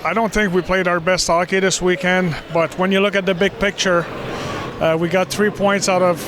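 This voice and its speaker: A man giving postgame interview answers as a hockey coach, halting and repeating words as he goes. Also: sits high, flat delivery, noisy background.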